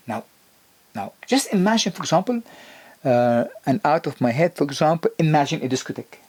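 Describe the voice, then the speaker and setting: A man lecturing to the camera about health in a husky voice. His pitch jumps up on key points, and he talks with restarts and fillers. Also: a little mellow. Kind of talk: explanatory lecture video.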